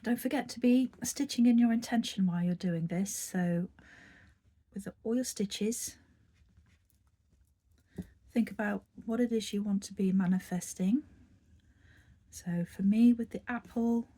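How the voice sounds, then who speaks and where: A woman with a croaky, husky voice after a recent virus, talking viewers through an embroidery project. Her tone is mellow and dark, and her pitch is ordinary. She speaks in a chatty, meandering way, full of fillers and restarts. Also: a little slow, long pauses. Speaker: embroidery teacher. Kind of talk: craft tutorial narration.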